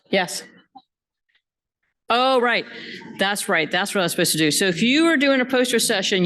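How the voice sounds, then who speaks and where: A woman's voice: medium pitch, a bit bright. A woman organizing a university symposium, giving loose, chatty housekeeping announcements to a conference hall crowd. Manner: loose, chatty, self-correcting. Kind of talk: casual announcements to an audience.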